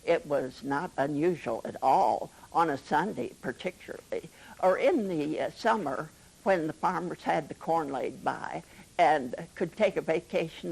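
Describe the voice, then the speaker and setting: A woman's voice: deep voice, creaky, a little mellow, husky, low-quality recording. An older woman reminiscing conversationally in an interview, with a folksy way of talking. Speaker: documentary interviewee.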